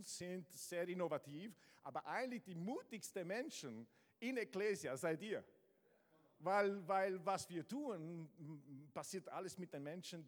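A man from Southern Italy with an Italian accent, preaching to a congregation with energy, often stumbling and restarting. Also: on the high side. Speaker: guest pastor. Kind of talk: lively sermon to a congregation. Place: church hall with congregation. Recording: large hall with long reverb.

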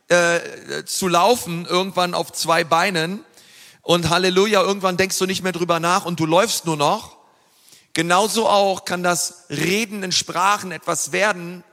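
A man's voice: high-pitched, pushed and bright. A man preaching a sermon in an earnest, emphatic way, with frequent fillers and restarts. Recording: long reverb of a large hall.

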